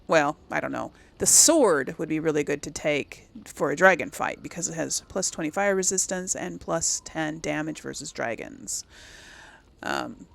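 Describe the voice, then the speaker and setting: Woman rambling casually, faint creak. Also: lowish voice. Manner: rambling, thinks aloud and self-corrects. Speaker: gaming video host. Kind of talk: casual chat, let's play commentary.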